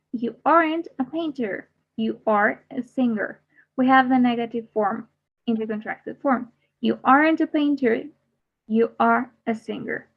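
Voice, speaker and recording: A woman teaching an English grammar class, repeating each example and then translating it. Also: fairly high pitch. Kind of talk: bilingual grammar lesson. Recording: muffled lo-fi audio.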